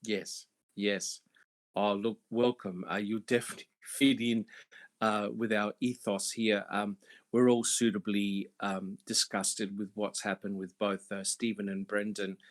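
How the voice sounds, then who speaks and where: A man hosting a casual panel podcast, speaking haltingly with many fillers. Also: lowish voice. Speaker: podcast host.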